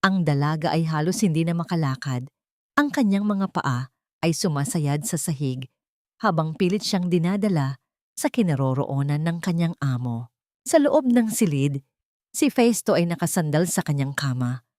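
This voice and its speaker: A woman's voice: sits low, lively intonation, normal speed, a little mellow, no echo, studio quality. A woman reading a scripted dramatic audiobook as narrator, in a solemn, suspenseful manner. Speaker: story narrator.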